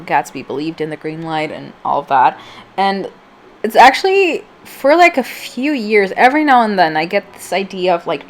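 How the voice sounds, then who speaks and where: A woman giving a casual, rambling, self-deprecating voice-over as a vlogger, her voice slightly off from allergies. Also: medium pitch. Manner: informal, rambling, self-deprecating, filler-heavy. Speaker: vlogger, channel host. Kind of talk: casual chatty voice-over for a craft video.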